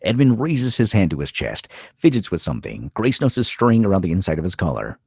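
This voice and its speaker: A man's voice: sits low, huge pitch swings, brisk, gravelly, a little mellow, slightly rough, no room sound, clean studio sound. A man reading stage directions as the narrator of a screenplay table read.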